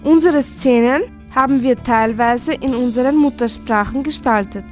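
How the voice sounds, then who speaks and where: High-voiced young woman narrating poetically. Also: normal speed, a little mellow, faint music behind. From Serbia. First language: Serbian. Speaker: student narrator. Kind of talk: poetic radio feature narration.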